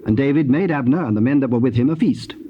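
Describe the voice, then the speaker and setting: Formal male scripture narrator, mid-pitched. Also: lo-fi sound. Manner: measured, formal storytelling. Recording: noisy old cassette tape transfer.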